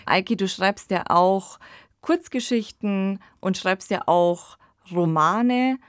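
A woman's voice: medium pitch, slow, no echo, studio quality. A woman hosting a podcast, discussing radio plays in a conversational way. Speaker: podcast host.

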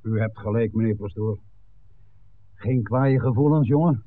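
An actor playing a gruff, hearty village innkeeper and father in a 1960s radio play, speaking in a blunt, folksy way. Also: fairly low pitch, measured pace, lightly acted. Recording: tinny old radio recording with hiss.

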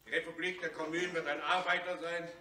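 A resolute male revolutionary speechifying in a radio drama. The voice is slightly high and very sharp, set back from the mic with echo. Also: little pitch movement, fast talker. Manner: forceful, declamatory, programmatic. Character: resolute revolutionary delegate addressing an assembly. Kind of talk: German radio play oratory. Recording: roomy hall, distant, faint noise.

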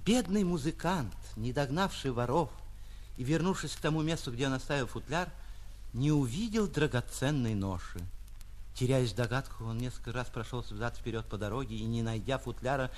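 A man, an actor reading a literary short story in an expressive, theatrical narrating style. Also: medium pitch, normal speed. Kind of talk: dramatic literary narration with acted dialogue.

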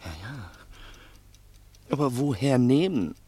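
A man's voice: mid-range voice, animated, slow, warm tone, husky, dry recording. A male actor playing a nervous, resentful small-time crook in an agitated, insistent way in a 1960s German radio play. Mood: anxious. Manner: agitated, insistent, repeating himself.

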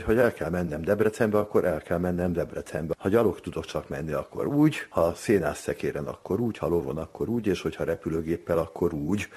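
Man, very deep pitch, husky, interviewed expert, conversational explaining, emphatic. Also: a little mellow.

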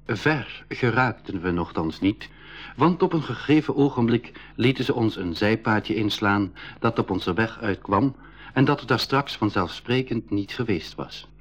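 An actor narrating a radio play for schoolchildren as a man recounting his jungle adventure, with a husky voice and descriptive delivery. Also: on the low side, expressive, measured pace. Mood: grave storytelling. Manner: descriptive storyteller addressing young listeners. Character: male expedition member recounting a jungle adventure. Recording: dull 1980s radio recording.

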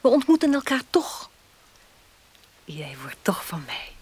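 An actress playing a seductive, scornful unfaithful woman in a radio drama, breathy and often whispering, coaxing and taunting. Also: on the high side, very animated, unhurried, a little mellow, heavily acted. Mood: intimate, coaxing. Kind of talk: Dutch radio drama acting. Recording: hissy radio drama recording.

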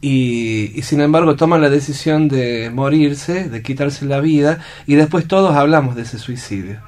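An Argentine man reflecting aloud as a radio panelist, halting as he thinks and often checking for agreement. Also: mid-range voice, a little mellow. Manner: thoughtful, halting, seeks agreement with tag questions. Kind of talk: reflective unscripted discussion.

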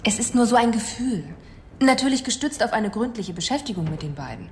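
An actress playing a composed, persistent police psychologist with dry irony in a radio drama, speaking in a measured way. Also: female voice, a little high, big pitch swings, a little mellow. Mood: firm, dry. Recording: noticeable background noise.

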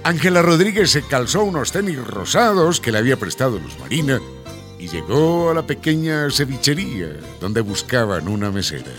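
A man narrating a radio soap in a scripted, dramatic and expressive storytelling style. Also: mid-range voice, moderate pace, close to an everyday voice. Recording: guitar music bed under the voice.

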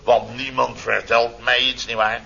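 A man's voice: medium pitch, flat delivery, a little slow, gravelly, pushed and bright. An actor playing a blustering, sarcastic middle-aged contractor boss, telling his mishaps theatrically in a radio comedy serial. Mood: exasperated, ironic. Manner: theatrical, exclamatory, sarcastic storytelling. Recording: dull, noisy old radio recording.

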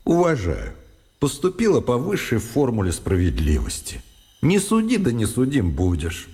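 Sardonic lawyer with wildly swinging intonation. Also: male voice, medium pitch, wildly swooping pitch, normal speed, a little mellow. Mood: mocking, nervous. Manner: ironic, theatrical, wordy. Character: sardonic, nervy, talkative Soviet lawyer trapped in wartime. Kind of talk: radio play acting.

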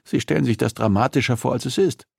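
Guy doing a stubborn captive lady cop in an audiobook, higher voice, bit gravelly, strained and defensive. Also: fast, a little mellow, no room sound, studio quality. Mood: defiant. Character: defiant captive female homicide detective under interrogation.

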